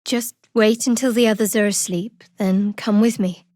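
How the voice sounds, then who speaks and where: An actress playing a guarded, sardonic young cleric of a dark goddess in a fantasy game, with a dry, wry delivery. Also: female voice, medium pitch, fairly even intonation, normal speed, no room sound, close to an everyday voice. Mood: composed, solemn.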